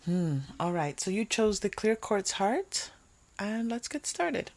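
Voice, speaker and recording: A woman hosting an unscripted tarot reading, talking conversationally to viewers and often pausing or repeating phrases as thoughts come to her. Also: medium pitch, lively intonation, darkish tone. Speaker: tarot reader hosting a pick-a-card reading.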